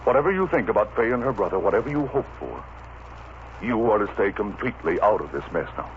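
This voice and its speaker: Radio leading man: impassioned, commanding, very expressive, thin noisy vintage sound. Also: fairly low pitch, fast talker, phone-quality sound. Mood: stern, protective. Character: ardent, protective, masterful gentleman lover. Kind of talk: old-time radio soap opera acting.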